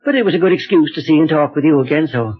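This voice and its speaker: A man playing a brisk, courteous scientist in a 1930s radio serial. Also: a little high, expressive. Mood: courteous, eager. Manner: brisk, polite. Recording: tinny, narrow 1930s radio recording.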